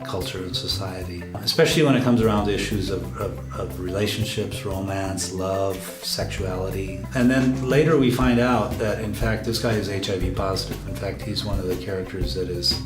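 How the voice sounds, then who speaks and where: A man giving an explanatory, documentary-interview style account of a production, pausing mid-sentence to find his words. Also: low voice. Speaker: radio drama project lead explaining the production. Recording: roomy echo with loud music behind. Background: music with guitar.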